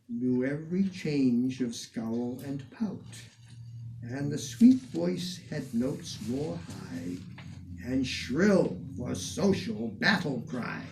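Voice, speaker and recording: A man reciting old poetry dramatically in a measured, declamatory way, with deliberate phrasing and emphatic swells. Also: mid-range voice, a little mellow. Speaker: reader of poetry, museum curator. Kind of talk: dramatic poetry recitation in the person of a 19th-century poet. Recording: distant microphone with some background noise.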